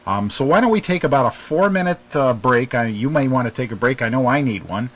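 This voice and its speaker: A man's voice: medium pitch, lively intonation, a little fast. A man hosting a live internet radio show in a casual, hesitant, informal way.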